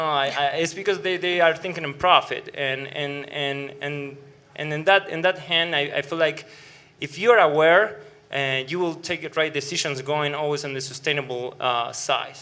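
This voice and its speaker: A man with an Argentine accent speaking on a brewing panel, halting with many fillers and restarts, mixing in joking asides. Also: on the high side, pushed and bright. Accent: Argentine Spanish. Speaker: brewing operations director on a panel. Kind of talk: panel discussion.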